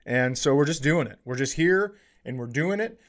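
A man's voice: mid-range voice, lively intonation, normal speed. A man with an American accent chatting casually and comedically as a podcast co-host, bantering and swearing freely. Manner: profane, bantering.

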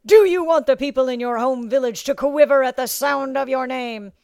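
A woman's voice: a little high, slow pace, a little mellow, clear, resonant tone, no echo, studio quality, pitched above the performer's own voice. A woman voicing a scornful, commanding male drill instructor in an audiobook, barking and taunting. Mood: bellowing contempt. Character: bellowing small thirty-year-old male drill instructor, scornful and commanding. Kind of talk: audiobook character acting.

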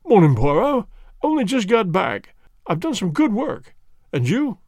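A man's voice: high-pitched, wildly swooping pitch, unhurried, darkish tone, dry recording, clean studio sound, pitched above the performer's own voice, heavily acted. A man reading the part of a breezy, chummy middle-aged police inspector who is mildly condescending. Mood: hearty.

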